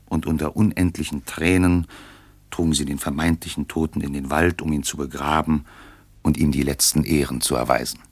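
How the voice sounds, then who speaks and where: A male narrator with a remarkably deep voice. The recording is very dry. He reads the story of a classical radio play in a composed storytelling manner. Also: fairly even intonation, fairly quick, husky, no room sound.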